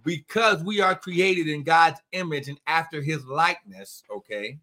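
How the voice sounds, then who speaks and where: A man talking in an emphatic preaching cadence, calling out to listeners as he goes. His voice sits fairly high for a man and sounds bright and forceful. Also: unhurried. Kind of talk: preaching conversation.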